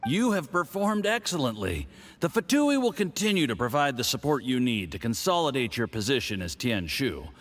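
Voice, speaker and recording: An actor playing a smug, scheming foreign diplomat with a patronizing, mocking manner. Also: male voice, medium pitch, big pitch swings, normal speed, a bit bright.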